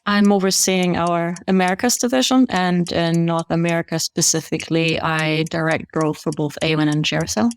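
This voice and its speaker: A woman from Germany, a company president, chatting as a podcast guest in a relaxed, friendly way. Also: fairly low pitch, normal speed, hardly any pauses, clean tone. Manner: relaxed, friendly, uses fillers like "you know". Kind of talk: conversational interview.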